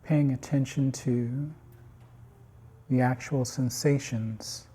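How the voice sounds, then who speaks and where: Slow, husky, mellow man guiding meditation. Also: medium pitch. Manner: calm, unhurried instruction in short phrases with long pauses. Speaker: meditation guide. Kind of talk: guided body scan meditation.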